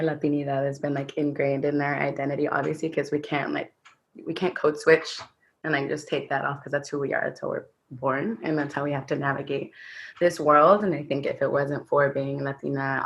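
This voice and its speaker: A woman's voice: rumbling low, little pitch movement, brisk, vocal fry. A woman from Los Angeles answering on a panel, earnest and peppering her speech with "like". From Los Angeles, USA. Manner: earnest, frequent "like".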